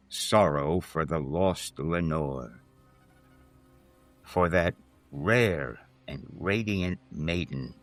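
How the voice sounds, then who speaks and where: Deep-voiced man giving a slow dramatic reading. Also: fairly bright tone. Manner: measured, theatrical, deliberate. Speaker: host reading poetry. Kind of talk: dramatic Gothic poetry reading.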